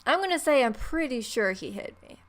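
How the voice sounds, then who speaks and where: A woman's voice: high-pitched, very animated, a little fast. A woman hosting a let's play, reading a visual novel aloud and adding wry, playful asides. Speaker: gaming video host. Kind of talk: let's play, reading protagonist's lines and ad-libbing.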